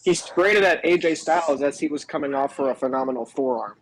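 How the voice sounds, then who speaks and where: A man's voice: on the high side, quick pace, a little hiss or hum, low-quality recording. A man co-hosting a podcast, giving a casual recap in conversation. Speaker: podcast co-host. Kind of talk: casual wrestling recap chat.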